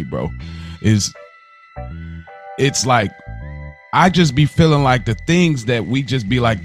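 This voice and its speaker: A man co-hosting a podcast, giving opinions in a slangy, earnest way, thinking out loud as he goes. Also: mid-range voice. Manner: slangy, earnest, mulling aloud. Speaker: podcast co-host. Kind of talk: casual opinion chat.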